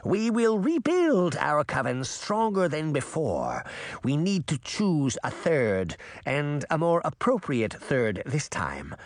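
A man voicing an ancient, imperious witch who speaks slowly and with authority. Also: a little high, wildly swooping pitch, no room sound, studio quality, heavily acted. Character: ancient imperious female witch, slow and commanding.

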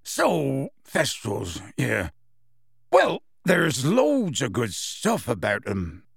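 A man voicing a big, bumbling half-giant teacher with a halting, folksy manner. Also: mid-range voice, wildly swooping pitch, creaky, husky, no room sound, clean studio sound, full character voice. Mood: flustered. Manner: halting, folksy, dropped consonants.

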